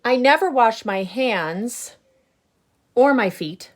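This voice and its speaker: Lady putting on a grumpy lil boy seed from a storybook, owns up to being bad, slow n punchy, louder. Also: a little high, lively intonation, pitched above the performer's own voice. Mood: defiant, boastful. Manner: emphatic, drawn-out, confessional. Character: boastful, grumpy little male sunflower seed, self-declared bad. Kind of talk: picture-book character read aloud.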